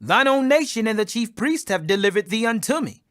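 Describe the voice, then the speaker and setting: Guy doing a stern roman-era governor grilling a prisoner, high sharp voice, challenging. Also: sing-song, fairly quick.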